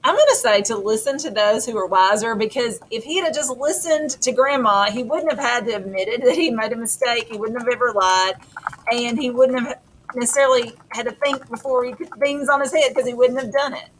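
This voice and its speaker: A woman's voice: on the high side, fairly quick, poor audio. A middle-aged woman co-teacher talking through a lesson in an informal, explanatory, conversational manner. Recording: clear background noise.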